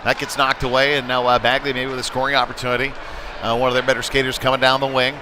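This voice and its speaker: A man commentates live on a hockey game at an ordinary male pitch. His delivery is conversational and can lift into excitement as play develops. Clear noise from the sports venue sits behind his voice. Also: fast.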